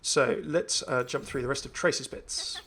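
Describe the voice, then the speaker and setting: A man's voice: mid-range voice, normal speed, sharp tone, breathy edge. A man hosting a gaming video, commenting casually and enthusiastically as he thinks aloud. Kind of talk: casual game update commentary.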